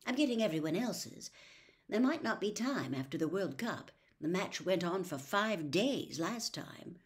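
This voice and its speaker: A woman voicing a fussy, bossy, loving mother in a storybook reading, nagging briskly. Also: fairly low pitch, very animated.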